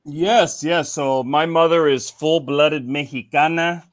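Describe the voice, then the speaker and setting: A man from Cincinnati, Ohio, a cigar company guest in casual podcast chat, telling stories in a relaxed way and often saying "man". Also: a little high, slow, no room sound.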